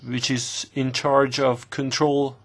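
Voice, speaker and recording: A man interpreting formal committee questions live, speaking in halting bursts with pauses mid-phrase. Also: medium pitch, level tone, a bit bright. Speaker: simultaneous interpreter.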